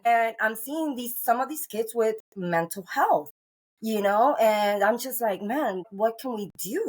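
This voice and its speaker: A middle-aged woman from Cuba hosting a podcast, talking in an earnest, rambling way with many restarts and fillers. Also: on the high side. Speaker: podcast host. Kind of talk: casual interview chat.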